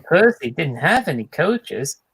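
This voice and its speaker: A man's voice: fairly high pitch, very animated, unhurried, a bit bright, breathy edge. A man reading a children's storybook aloud as a narrator. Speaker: storybook reader.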